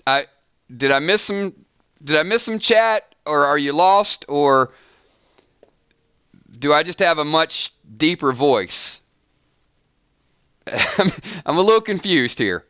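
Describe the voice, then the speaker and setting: A man's voice: a little high, expressive, takes it slowly, long pauses, pushed and bright, clear, resonant tone, dry recording, studio quality. A man hosting a fan live stream, chatting casually with viewers and breaking off mid-sentence with "hold on" and "wait a minute.". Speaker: fan channel host. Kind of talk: live-stream commentary reading chat.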